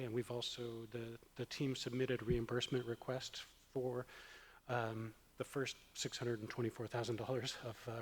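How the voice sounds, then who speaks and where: Man giving a council report on a desk mic, monotone, halting, hall reverb. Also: mid-range voice. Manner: halting, many fillers and restarts. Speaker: city official giving a report. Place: council chamber.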